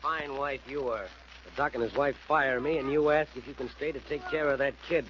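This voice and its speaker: An actor playing a bitter, menacing crook and husband, sneering in 1940s radio style. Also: male voice, mid-range voice, steady tone, brisk, natural voice. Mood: bitter, threatening. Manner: sneering, threatening. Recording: muffled old radio recording, faint noise.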